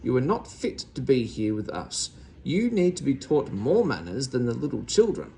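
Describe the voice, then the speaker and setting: A man voicing a stern, easily scandalised older aunt with a sharp, scolding manner, in a children's storybook reading. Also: mid-range voice, big pitch swings, moderate pace, vocal fry, slight background noise. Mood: angry.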